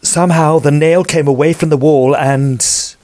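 A man's voice: fairly high pitch, fairly even intonation, a little slow, background noise, natural voice. A man playing an earnest, polite Edwardian estate agent who narrates a mystery in an audio drama. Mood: measured. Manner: formal, polite. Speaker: narrator and character.

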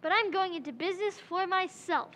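A woman's voice: very high voice, brisk, a bit bright, long reverb tail. A woman voicing an eager, enterprising teenage boy in an old-time radio play recreation, pleading and earnest.

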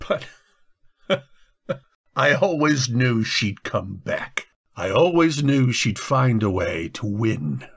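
An actor playing a guilt-ridden, anguished husband in a radio drama, speaking haltingly as emotion breaks through. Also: male voice, mid-range voice, very animated, a bit bright, husky, full character voice. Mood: despairing. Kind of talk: old-time radio drama acting.

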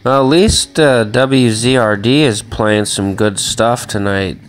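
A man's voice: mid-range voice, slow pace, faint music behind. A man rambling casually and swearing often in a home vlog, filmed on a handheld phone in a small room. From Chicago area. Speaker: vlogger. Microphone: phone camera held in hand.

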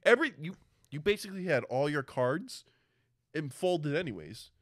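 A man co-hosting a casual film discussion, halting and repeating words for emphasis. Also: on the high side, sing-song, no room sound, clean studio sound. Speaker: podcast co-host.

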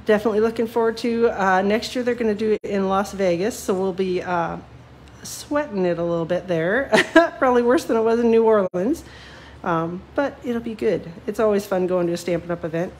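A woman talks through a card-making demo as she colors, rambling and self-deprecating, with many small fillers. She sounds a little sniffly from a cold. A faint hum sits behind her voice, which rests at an ordinary middle pitch. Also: brisk, darkish tone. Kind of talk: live card-making tutorial, chatty. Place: home craft desk, seen from above.

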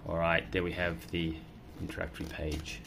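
A woman's voice: rumbling low, level tone, normal speed, gravelly, fairly bright tone, a little hiss or hum. A woman reading a picture book aloud to children, playfully chatting and explaining as she goes. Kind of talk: casual read-aloud for children with asides. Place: indoor room at a table.

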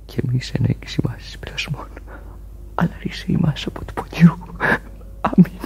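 A man's voice: a little high, gravelly, darkish tone, in a whisper. An actor playing an anguished, protective sailor in a radio drama, emotional in his delivery. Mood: grieving prayer. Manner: urgent, soothing, emotional. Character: anguished, protective male sailor comforting a dying friend.

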